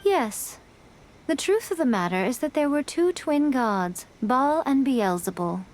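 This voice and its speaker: A woman's voice: high voice, sing-song, unhurried, slight background noise. A woman voicing a sly, playful fox-spirit woman in a game, with a poised, teasing way of speaking. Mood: calm. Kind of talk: game dialogue.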